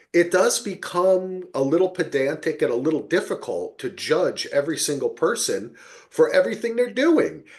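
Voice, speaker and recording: A man hosting a casual TV discussion podcast, rambling and halting, often checking in with listeners and swearing casually. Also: medium pitch. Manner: rambling, halting, checks in with "right?" and "you know". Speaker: podcast host.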